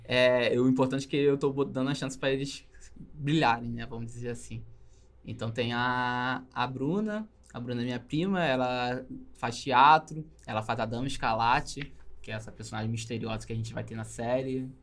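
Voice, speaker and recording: A man's voice: mid-range voice, fairly even intonation, normal speed, fairly bright tone. A young man with a Brazilian Portuguese accent, chatting casually and enthusiastically as an interview guest who works in animation. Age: young adult.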